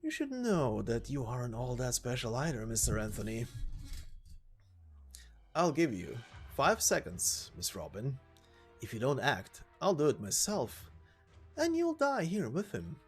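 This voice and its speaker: A sadistic gentleman villain from a game story, acted out by a male narrator. He speaks with theatrical courtesy and savors his words, his pitch leaping and dropping freely. Faint music plays underneath. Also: wildly swooping pitch, slightly rough, exaggerated voice. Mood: gloating, intense. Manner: mock-polite, drawn-out, savoring words. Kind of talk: dramatic game story voice acting.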